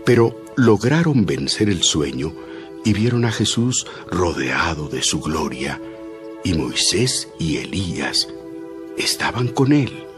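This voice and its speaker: A man narrating a dramatized Bible story in a measured, solemn scripted style. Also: low-pitched, very animated. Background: prominent music.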